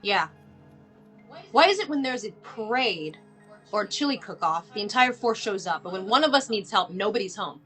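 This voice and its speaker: A woman's voice: medium pitch, animated, normal speed, faint music behind, close to an everyday voice. A woman playing a frustrated, worried mother who bluntly demands help from the police, in a film table read. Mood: frustrated, insistent. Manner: blunt, exasperated, sharp-tongued.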